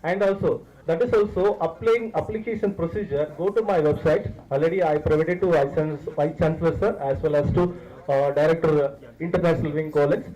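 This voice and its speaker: A man's voice: a little high, fairly quick, dark tone, dull audio. A man with an Indian accent speaks as a visiting university director, giving an explanatory, promotional address to students and running through lists with repeated phrases.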